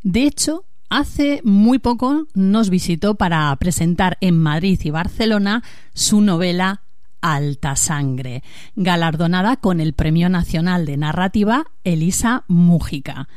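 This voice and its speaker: A woman's voice: on the low side, a little slow, darkish tone, dry recording, clean studio sound. A woman hosting a conversational literary interview on a podcast. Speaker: podcast host interviewing a writer.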